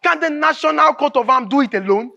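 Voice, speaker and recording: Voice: high-pitched, expressive, fast talker, vocal fry, sharp tone, highs cut off, full character voice. An actor with a Nigerian accent playing an anguished, bitter writer in a live stage drama, declaiming emphatically and repeating phrases. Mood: indignant. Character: anguished, bitter writer raging at a corrupt nation.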